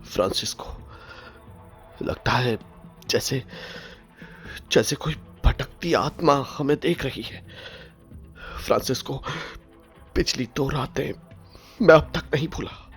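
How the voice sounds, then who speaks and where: A man playing a fearful soldier on night watch in an audio drama, halting and repeating words, with a trembling edge to the voice. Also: medium pitch, soft background music, pitched above the performer's own voice, lightly acted. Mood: uneasy, haunted. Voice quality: trembling, hushed at times. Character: jumpy, fearful castle sentry soldier, haunted and challenging. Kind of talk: dramatic radio-play acting.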